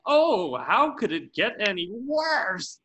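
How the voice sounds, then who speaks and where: A man's voice: high-pitched, very animated, slow, pushed and bright, husky, exaggerated voice. A man playing a grumpy, exasperated cartoon squid cashier in a table reading, irritable and sarcastic. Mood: sour, exasperated.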